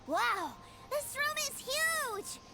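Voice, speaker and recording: A woman voicing an innocent, excitable little boy in an anime-style video game, with a childlike, wide-eyed manner. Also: extremely high pitch, drawn-out words, heavily acted. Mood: curious, excited. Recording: game audio under loud music.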